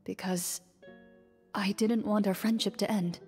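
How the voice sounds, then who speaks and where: A woman's voice: medium pitch, steady tone, unhurried, darkish tone, husky. An actress playing a composed young woman duelist who speaks in a dry, matter-of-fact way, in game dialogue. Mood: calm. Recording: game dialogue with long reverb and faint music.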